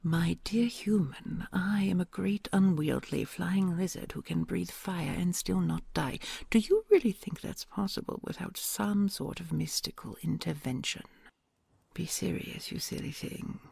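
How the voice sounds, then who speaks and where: A woman plays a huge ancient dragon queen, kind and doting, who speaks in a hushed, very breathy murmur full of gravelly fry. She draws out her words slowly and teases her human gently. The sound is dry and close, with no room around it. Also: on the low side, no room sound, full character voice. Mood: tender, teasing. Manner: soft hushed storytelling, affectionate and gently teasing. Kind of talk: ASMR audio roleplay.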